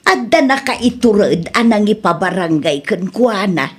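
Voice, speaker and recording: A woman's voice: mid-range voice, lively intonation, measured pace, slightly rough. An actress with an Ilocano accent playing an everyday village woman in a radio comedy drama. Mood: concerned.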